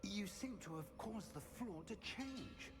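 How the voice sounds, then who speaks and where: An actor playing a composed, inquisitive professor and wizard mentor, speaking in a measured, refined, thoughtful way in a video game. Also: male voice, high voice, expressive, exaggerated voice. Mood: attentive. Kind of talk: video game character acting.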